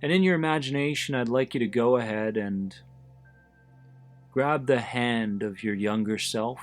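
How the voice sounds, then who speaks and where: Man, guided visualization, very slow pace, soothing and deliberate, medium pitch, background music. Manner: deliberate, soothing, with pauses between short phrases. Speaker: host of an anxiety support podcast, guiding listeners. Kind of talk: guided visualization, meditation narration.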